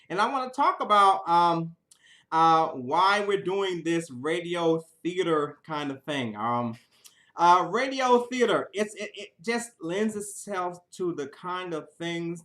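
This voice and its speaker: A man's voice: sits high, pushed and bright. A man, a theater teacher, gives an informal introduction to an audience in an enthusiastic, conversational way, often checking in with his listeners. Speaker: high school theater teacher introducing a show.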